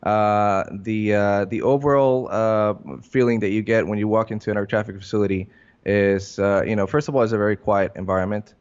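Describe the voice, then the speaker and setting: A man with a lowish voice gives unscripted interview answers. He talks haltingly, feeling his way through sentences with many fillers. The sound is somewhat dull and muffled. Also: steady tone, a little mellow, clean tone. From Puerto Rico. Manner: halting, hesitant, filler-heavy phrasing. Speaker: interview guest, air traffic controller. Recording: muffled lo-fi recording.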